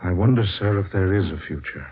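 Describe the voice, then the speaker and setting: An actor playing an earnest, troubled writer searching for truth in a vintage radio drama, with formal, theatrical phrasing. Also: male voice, sits low, animated, a little fast, husky. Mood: resigned. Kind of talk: 1940s radio drama acting with narration. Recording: dull, muffled vintage radio recording.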